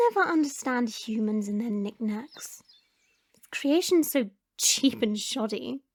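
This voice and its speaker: A woman's voice: a little high, big pitch swings, slow, exaggerated voice. A woman playing a haughty, sarcastic nonhuman lady who looks down on humans, in an audio drama. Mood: disdainful. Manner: arch, disdainful, formal sarcasm.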